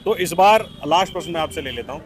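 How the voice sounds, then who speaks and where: A man's voice: on the high side, lively intonation, quick pace, a crowd in the background. A man working as a street reporter, putting questions to passers-by. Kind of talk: vox pop interview with questions and prompts. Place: outdoors in an urban area. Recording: noisy outdoor street with traffic.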